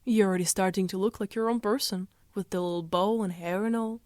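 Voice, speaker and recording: A calm and knowing female dream spirit played by a woman speaks in a coy, measured way. Her mid-pitched voice sits close and dry, as in an animated fantasy dub, with a light teasing edge. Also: no room sound, lightly acted. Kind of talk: animated comic dub acting.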